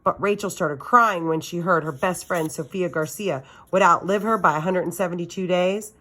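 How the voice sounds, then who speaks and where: A woman's voice: on the low side, normal speed, bright, forceful tone. A woman reading a children's novel aloud as a teacher does for students, with expression.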